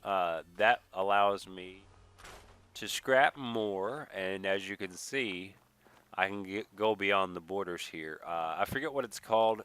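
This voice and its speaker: A man giving casual, rambling let's play commentary, thinking aloud at an unhurried pace, slightly nasal with a mild sniffle. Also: low-pitched, fairly bright tone, clean tone. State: mild sniffle from a recent cold. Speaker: gaming video creator, player.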